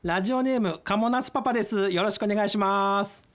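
A man's voice: sits high, quick pace, a bit bright. A man in his forties answering casually as a guest in an interview, polite and modest. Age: 40s. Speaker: listener guest who acted in radio drama.

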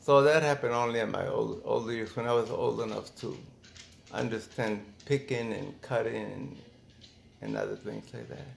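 A middle-aged man from Mexico reminiscing casually in an interview, thinking aloud in halting phrases with false starts. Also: fairly low pitch, fairly even intonation, creaky, slight background noise. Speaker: interviewee, former migrant worker.

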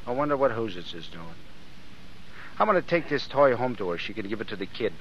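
An actor playing a restless young tough drifter with clipped, slangy American talk, in an old-time radio drama. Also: male voice, mid-range voice, big pitch swings, fairly quick, lightly acted. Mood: wistful. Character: restless tough young drifter and reluctant thief, gruff but soft underneath. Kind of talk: 1930s-40s American radio drama acting. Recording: muffled, noisy old radio recording.

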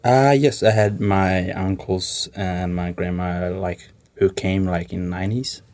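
A man's voice: low-pitched, steady tone, unhurried, darkish tone. A man, answering interview questions informally and hesitantly, often rephrasing as he goes. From Somalia. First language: Somali. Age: 20s. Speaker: interview guest.

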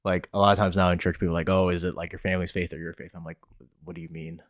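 A man's voice: low voice, little pitch movement, very fast, no room sound. A man with an American accent answering questions as a podcast co-host, chatting casually with playful, dry replies. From eastern United States. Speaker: podcast co-host being interviewed. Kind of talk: casual chat.

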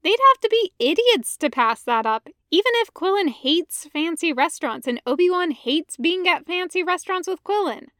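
A woman voicing a sharp, blunt young woman, a no-nonsense sidekick in a story. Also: extremely high pitch, lively intonation, normal speed, few pauses, no echo, studio quality, pitched above the performer's own voice. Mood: exasperated. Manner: blunt, interrogating, incredulous. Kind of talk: storybook character voice.

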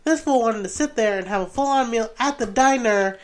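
A woman's voice: fairly high pitch, fairly quick. A woman in her twenties, from Rhode Island, chatting casually as a podcast co-host, telling stories with lots of "like"s. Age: 20s. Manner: chatty, animated storytelling with laughs and "like"s. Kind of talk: casual chat.

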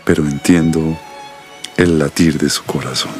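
A middle-aged Colombian doctor, a protective father with a very deep voice. He speaks in a measured, confiding and serious way, as in a radio soap, over faint music. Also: steady tone, darkish tone. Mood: tender, solemn. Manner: measured, solemn, articulate. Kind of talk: radio soap opera acting.

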